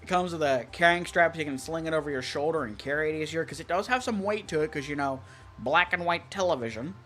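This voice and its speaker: An American enthusiast talks casually at a middle pitch, rambling through technical details. The delivery is opinionated and conversational, as on an online stream, with faint music behind it. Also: quick pace, a bit bright. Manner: opinionated, rambling, listing features. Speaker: stream co-host, weather radio collector.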